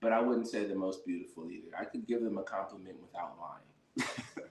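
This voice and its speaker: A man's voice: low-pitched, normal speed. A man livestreaming a casual, slangy reaction as host, often sounding incredulous. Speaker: livestream host reacting to his own dating-show episode. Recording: roomy livestream audio with echo.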